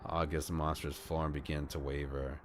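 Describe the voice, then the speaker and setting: A man's voice: a bass voice, level tone, moderate pace, background noise. A man streaming a live read-aloud of a visual novel, narrating scenes and dropping casual asides. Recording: music behind the voice. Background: background music.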